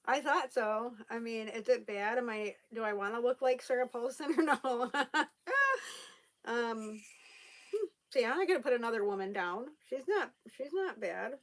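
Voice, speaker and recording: A woman's voice: fairly high pitch, normal speed. A young woman with a slight lisp talks casually to her camera at home. She rambles through viewer comments with fillers, restarts and frequent laughter. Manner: chatty, laughs often, many fillers and restarts. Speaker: vlogger reading viewer comments.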